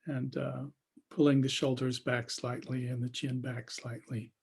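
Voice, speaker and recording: A man with a husky voice leading an unscripted guided meditation as a teacher, speaking haltingly with long pauses and trailing phrases. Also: mid-range voice, little pitch movement, a little mellow.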